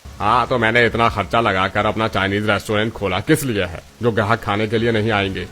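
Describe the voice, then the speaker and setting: A man's voice: lowish voice, steady tone, fairly quick, sharp tone. A man voicing an arrogant, jealous, scheming restaurant owner in a cartoon story, taunting and boastful. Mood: gloating. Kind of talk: Hindi cartoon story dialogue.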